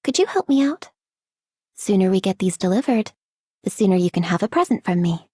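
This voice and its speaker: Mellow, husky friendly courier. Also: female voice, medium pitch, expressive, a little fast, no echo, clean studio sound. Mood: friendly, upbeat. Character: friendly, busy female courier in a fantasy game. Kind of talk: game character voice line.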